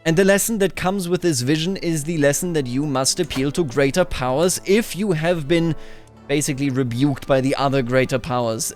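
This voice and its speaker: Male game commentator, slightly high voice, musing storyteller who explains as he goes, soft music underneath. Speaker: host of a video game playthrough series.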